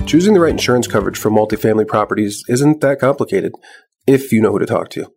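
A man's voice: on the low side, expressive, fast, gravelly, darkish tone. A man reading a scripted radio-style ad. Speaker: ad announcer. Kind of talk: scripted ad read. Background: faint music.

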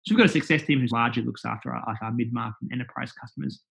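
A man's voice: mid-range voice, very fast, vocal fry, fairly bright tone. A man with an Australian accent, a company executive talking as a guest in a casual interview, chatty and full of fillers and restarts.

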